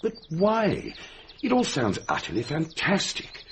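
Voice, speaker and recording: An actor playing an incredulous, articulate upper-class gentleman in a radio drama, emphatic and formal. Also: on the high side, huge pitch swings, measured pace. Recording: noisy old radio drama recording.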